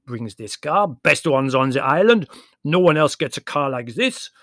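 Loud, gruff Greek taxi driver. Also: male voice, a little high, animated, normal speed, no echo, studio quality. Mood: boastful, jovial. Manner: broken English with misplaced plurals, emphatic. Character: big, gruff, boisterous Greek taxi driver, boastful and helpful.

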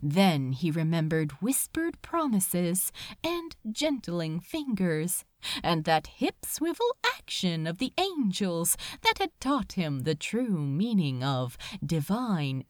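A woman narrates a story with theatrical expression. Her pace is slow and deliberate, and her pitch moves freely from phrase to phrase. The recording is close and very dry. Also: no echo. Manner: expressive, measured. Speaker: amateur audiobook reader. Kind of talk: dramatic scripted story narration.

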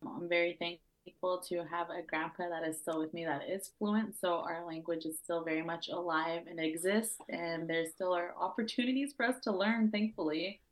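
A woman's voice: on the low side, steady tone, normal speed, hardly any pauses, rough recording. A young woman from Oklahoma, recovering from a sore throat, talking casually as a podcast guest and cultural educator. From Oklahoma, United States. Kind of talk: casual conversational interview.

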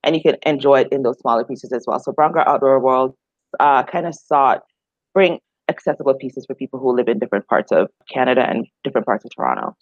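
A woman's voice: a bass voice, fast, no room sound. A woman from Jamaica, chatting relaxedly in an interview with frequent fillers. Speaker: guest, outdoor group founder. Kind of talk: casual conversational interview answers. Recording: dry, slightly dull remote audio.

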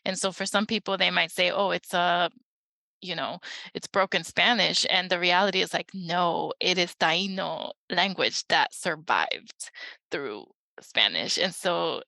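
A woman from New York speaking reflectively and personally as a library guest, pausing often. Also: on the low side, creaky, bright, forceful tone, no echo, rough recording. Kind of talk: casual panel talk.

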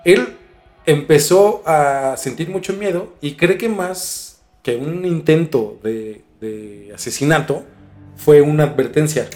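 Higher-voiced Mexican man over music. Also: animated, slow pace, a little mellow. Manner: informal, slangy. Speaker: podcast host. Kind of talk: casual conversational storytelling.

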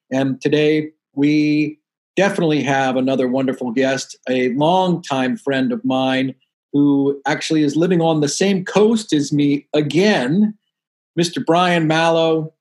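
A man from the Washington DC area hosting his own show, chatting casually with a guest and often pausing on "uh". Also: a little high, darkish tone. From Washington DC area, USA. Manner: friendly, chatty, many "uh" fillers. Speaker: podcast host. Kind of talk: casual interview chat.

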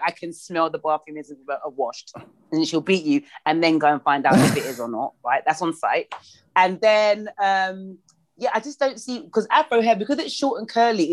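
A woman guest on a podcast over a video call, frank and joking, telling animated stories about her life. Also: sits low.

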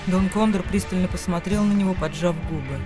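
A man's voice: sits high, fairly quick, over music. A man reading scripted literary narration for a radio drama.

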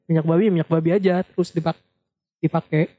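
A young man speaks in a casual chat. He talks in a stop-start way, repeating words as he works out his questions, and his voice is a little high. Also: level tone, fast talker, phone-quality sound.

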